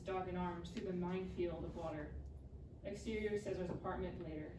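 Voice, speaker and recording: A woman reading scripted scene directions aloud in clipped phrases at a live table read. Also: on the low side. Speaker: stage-direction reader. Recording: echoey room, distant mic, background noise.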